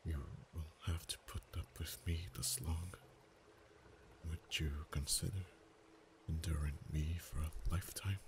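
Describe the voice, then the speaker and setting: A man voicing a proud, haughty male dragon in an ASMR romance roleplay, speaking softly with whispered and stammering stretches. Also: very deep voice, steady tone, noisy background. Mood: tender. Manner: soft-spoken, partly whispered, halting stammers.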